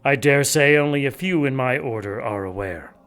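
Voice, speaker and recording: An actor playing a cold, scheming male monk who speaks in a smooth, condescending way. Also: medium pitch, animated, moderate pace, a bit bright.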